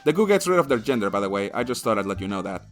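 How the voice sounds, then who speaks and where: Animated, sweary male game reviewer. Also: on the low side, racing, soft background music. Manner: crude, sweary, sarcastic. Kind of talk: comedic scripted review narration.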